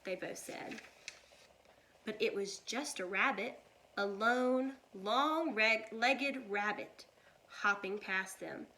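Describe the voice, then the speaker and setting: A woman reading a children's story aloud like a teacher, with deliberate, expressive delivery. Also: fairly high pitch, rough recording.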